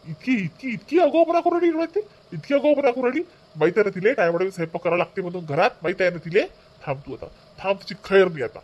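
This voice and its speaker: A man's voice: sits high, animated, fast talker, darkish tone, muffled recording. A man voicing an irritable, demanding village son and husband in a comic cartoon, repeating himself in a Vidarbha Marathi accent. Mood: angry threatening. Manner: demanding, repetitive. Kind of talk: exaggerated comic cartoon dialogue.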